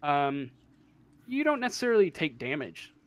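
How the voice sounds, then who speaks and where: A man's voice: fairly high pitch, big pitch swings, normal speed. A man acting as game master, casually explaining a tabletop roleplaying game in a hesitant way, as if thinking aloud.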